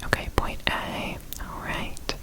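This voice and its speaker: Slow whispering woman, ASMR roleplay. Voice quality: soft, breathy whisper. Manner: slow, gentle, reassuring step-by-step instruction. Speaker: ASMR performer playing an examiner.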